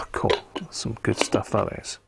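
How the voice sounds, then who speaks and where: A British man giving casual, unhurried live commentary as he works at a repair bench, half muttering to himself. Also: whispered. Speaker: host of a live radio repair stream. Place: indoor repair workbench.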